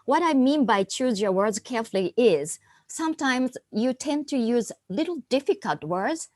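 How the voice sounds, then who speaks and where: A woman's voice: fairly high pitch, expressive, moderate pace, darkish tone, no room sound, clean studio sound. A woman giving general comments as a contest judge, teacherly and encouraging, asking the audience questions.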